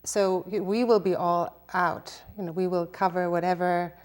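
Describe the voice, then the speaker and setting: Lady, talks soft and kinda hesitant, low-ish voice. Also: steady tone, normal speed. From Germany and Denmark. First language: German. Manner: soft-spoken, hesitant with fillers and restarts. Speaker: guest, newspaper publisher. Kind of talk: conversational interview answers.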